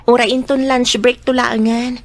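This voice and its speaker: A woman acting a lonely, modest young office worker who speaks softly and shyly in a radio drama. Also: fairly high pitch, level tone, moderate pace, no echo, natural voice. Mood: gentle.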